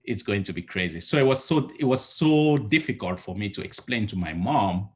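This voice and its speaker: A man's voice: lowish voice, lively intonation, moderate pace. A man in his thirties from Cameroon, giving earnest, rambling advice on a home video call and restarting his sentences often. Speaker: entrepreneur guest. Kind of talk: casual video call interview. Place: home living room, indoors. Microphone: laptop webcam on a video call.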